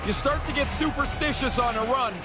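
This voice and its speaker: Hockey colour commentator, high pitch, conversational analysis, prominent music behind, video game broadcast. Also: male voice, a little fast. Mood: analytical. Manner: conversational, explanatory.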